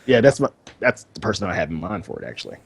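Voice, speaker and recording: A man's voice: fairly low pitch, animated, fast talker. A man co-hosting a gaming podcast in casual, informal chat, repeating himself as he thinks aloud. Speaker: podcast co-host.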